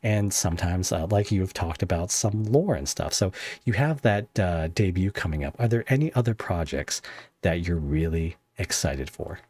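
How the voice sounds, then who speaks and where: A man's voice: low-pitched, expressive, normal speed, darkish tone. A man hosting a podcast interview, chatty and explaining terms for listeners. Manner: explaining, chatty, self-deprecating. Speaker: podcast host. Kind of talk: casual interview chat. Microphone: headset.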